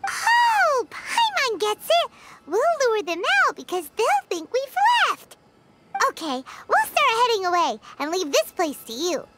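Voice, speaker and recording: A woman voicing a tiny, excitable, childlike fairy companion in a video game, squeaky and chatty, exclaiming and speaking of herself in the third person. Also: a little slow, noisy background, full character voice. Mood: relieved, eager. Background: music.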